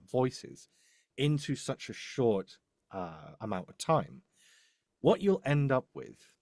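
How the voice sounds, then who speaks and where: A man's voice: mid-range voice, darkish tone, no room sound, clean studio sound. A man speaking off the cuff as a demo producer giving advice, emphatic, repeating his points and often checking in with "right?". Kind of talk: live coaching talk, unscripted.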